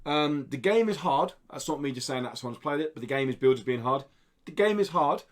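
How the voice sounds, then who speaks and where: A man's voice: on the high side, animated, a little fast. A man hosting a podcast, presenting news in a casual, chatty style.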